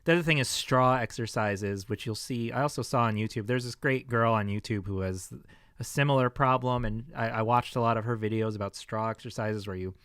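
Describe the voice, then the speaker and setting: A man with a fatigued, scratchy throat talks in a hesitant, rambling vlog manner, full of restarts. The sound is close and dry with no room echo. His pitch sits in the middle range. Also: brisk, a bit bright, smooth. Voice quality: scratchy, tired voice. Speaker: vlogger sharing health experience. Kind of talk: casual personal vlog. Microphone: close microphone.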